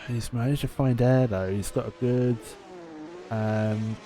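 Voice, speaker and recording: A man's voice: medium pitch, steady tone, unhurried, dark tone, a little hiss or hum. A man giving live sim racing commentary in a chatty, casual way.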